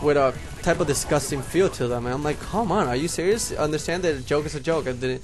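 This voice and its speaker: A man's voice: fairly high pitch, brisk, soft background music. A young man from Puerto Rico whose first language is Spanish, speaking with a Puerto Rican accent and a slight lisp, rambling casually to his viewers as homies. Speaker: YouTuber. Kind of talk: casual vlog monologue.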